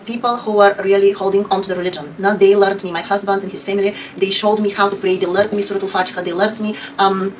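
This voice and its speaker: A woman's voice: medium pitch, level tone, fast, few pauses, far from the mic, a little hiss or hum, rough recording. A woman from Bulgaria with a Bulgarian accent, speaking earnestly and devoutly as she answers viewer questions about her faith, often using Arabic religious phrases. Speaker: convert sharing her story.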